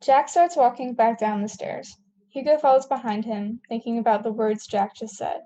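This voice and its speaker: A woman's voice: on the high side, normal speed, mellow, poor audio. A woman narrating scripted stage directions for a table read.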